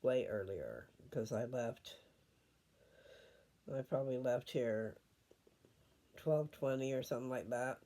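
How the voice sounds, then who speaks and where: An older man chatting casually and reading scripture at home, unhurried and wandering as he thinks aloud. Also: mid-range voice, expressive, warm tone.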